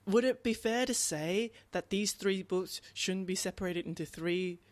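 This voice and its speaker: A man co-hosting a podcast, talking through books casually in an animated, emphatic way and often repeating words. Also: high voice, a little slow, no echo. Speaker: podcast co-host. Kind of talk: casual book discussion.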